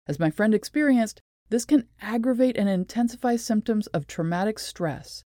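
A woman presenting a scripted explanatory talk in a measured, deliberate and articulate way. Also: medium pitch, lively intonation, dark tone, dry recording, studio quality. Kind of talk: scripted explanatory narration with read quotations.

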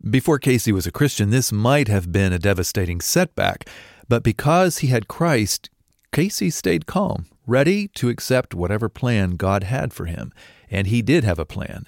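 A man narrating a true story in a calm, measured scripted style. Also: fairly low pitch, creaky, dry recording, studio quality.

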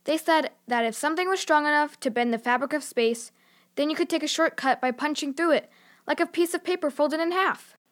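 A woman's voice: high voice, a little fast, lightly acted. A female actor playing a sensible, curious young girl in a children's audio drama, earnest and frank in manner. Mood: reasoning.

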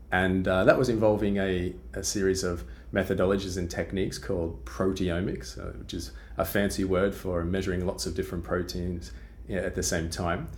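Deep-voiced Australian man explaining science. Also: a little hiss or hum. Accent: Australian with British influence. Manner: explanatory, with frequent fillers and restarts. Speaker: guest scientist. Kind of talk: podcast interview.

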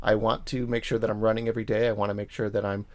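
A man's voice: on the low side, level tone, racing, no room sound. A young adult man with a Boston accent hosting a casual family podcast, talking in an encouraging, coaching way with lots of fillers. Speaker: podcast host.

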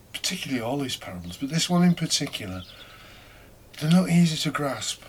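A man giving a reflective homily in an unhurried, thoughtful way with pauses. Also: medium pitch, fairly bright tone, slight background noise, rough recording.

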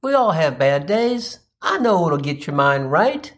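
A man's voice: a little high, big pitch swings, unhurried. A man voicing a kindly, unflappable minivan mom in a comic story, with a folksy, soothing manner full of endearments. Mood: kindly, reassuring.

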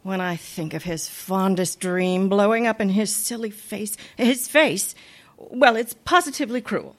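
A woman playing a wry, sensible but soft-hearted schoolteacher in an old-time radio comedy, gently admonishing. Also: mid-range voice, a little slow. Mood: reproving.

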